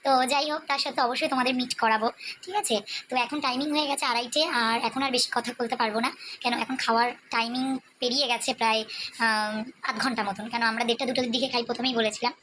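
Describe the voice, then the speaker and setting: A woman's voice: fairly high pitch, steady tone, fast talker. A woman with a West Bengal Bengali accent talking casually to viewers as a vlogger, chatty and mixing English words into her talk. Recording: faint background noise.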